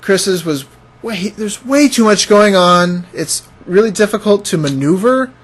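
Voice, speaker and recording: A man's voice: high-pitched, animated, a little slow. A man co-hosting a gaming show, giving casual commentary on video game play. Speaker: video game podcast host.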